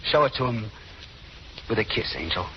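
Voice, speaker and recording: An actor playing a jealous, menacing gangster in a 1930s radio drama, with an American accent and a curt, sneering, commanding way of talking. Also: male voice, on the low side, expressive, normal speed, lightly acted. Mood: sneering threat. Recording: muffled, hissy old radio recording.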